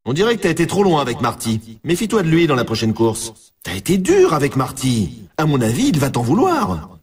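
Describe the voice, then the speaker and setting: A man playing a hyped-up, brash and teasing announcer in a snowboard racing video game, with a husky, rough-edged voice. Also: a little high, huge pitch swings, fairly quick, recorded from a distance, full character voice. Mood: teasing warning.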